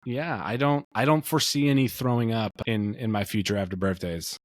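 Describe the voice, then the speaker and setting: A man's voice: lowish voice, fairly even intonation, brisk, dry recording, studio quality. A man in his late thirties co-hosting a casual podcast chat, rambling with many fillers and restarts. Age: 30s. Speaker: podcast co-host.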